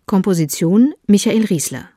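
A woman's voice: mid-range voice, animated, moderate pace, warm tone, slightly rough, dry recording, studio quality. A woman making a formal scripted radio announcement, neutral and precise, as if reading out credits.

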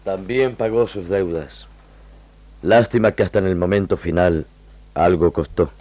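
An actor playing an honorable, humane army lieutenant with earnest, measured, formal phrasing in a radio drama. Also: male voice, low-pitched, a little mellow, dry recording. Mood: mournful.

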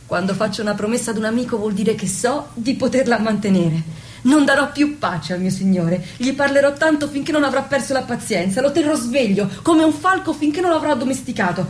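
An actress playing a devoted, trusting young noble wife in an Italian radio drama, speaking with earnest, pleading insistence. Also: female voice, fairly high pitch, lightly acted. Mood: confident, determined.

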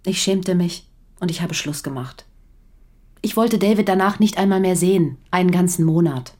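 Female actor as weary defiant wife, lowish voice, frank, reproachful, radio crime drama. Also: normal speed, a little mellow, close to an everyday voice. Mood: confessing, subdued.

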